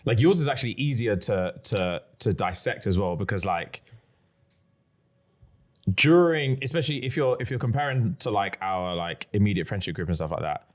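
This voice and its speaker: A man's voice: on the low side, animated, normal speed. A man in his thirties co-hosting a podcast, talking in a loose, rambling, frank way and swearing casually. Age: 30s. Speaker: podcast co-host. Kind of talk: casual chat.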